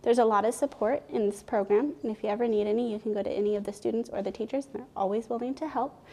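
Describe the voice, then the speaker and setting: Young woman student doing a testimonial, talks fast, softer darker tone. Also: a little high. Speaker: college student.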